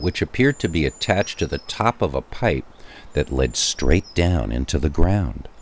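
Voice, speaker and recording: A man's voice: extremely low pitch, slight background noise. A man narrating a first-person scary story in a dramatic but conversational way, with wry asides to the listener.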